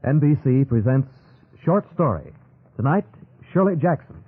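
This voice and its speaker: A man's voice: mid-range voice, animated, normal speed, faint music behind. A man reading scripted announcements in a mid-century radio style. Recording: narrow-band old radio broadcast.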